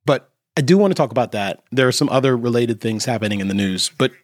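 A man hosting a podcast, explaining his opinions in a measured way. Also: mid-range voice, lively intonation, no room sound, studio quality. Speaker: podcast host. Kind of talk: casual chat.

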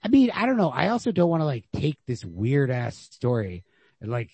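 A man talking through a book in casual discussion, halting and peppering his thoughts with "like". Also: medium pitch, huge pitch swings, dark tone, husky, no room sound. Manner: halting, filler-heavy with frequent "like", casual swearing. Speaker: podcast host discussing short stories.

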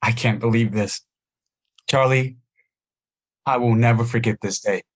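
A man's voice: medium pitch, fairly even intonation, a little slow, lightly acted. A man playing an earnest young chorus dancer. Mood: grateful. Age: young adult. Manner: earnest, pleading. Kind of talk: audio play acting.

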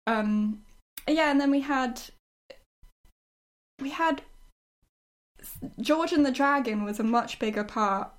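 A woman's voice: high voice, takes it slowly, long pauses. A woman chatting casually and reflectively as a writer looking back on a show, in an agreeable, conversational way. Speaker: writer discussing their own show.

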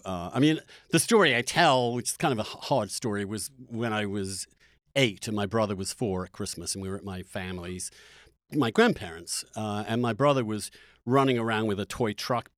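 Halting man telling personal stories, dry sound. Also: medium pitch, no room sound. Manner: halting, animated, casually sweary. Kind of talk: personal storytelling in conversation.

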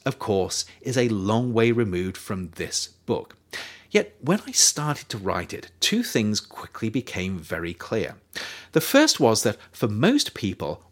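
Expressive low-voiced man reading narration. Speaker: author reading own book as audiobook. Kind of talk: scripted narration, expository reading.

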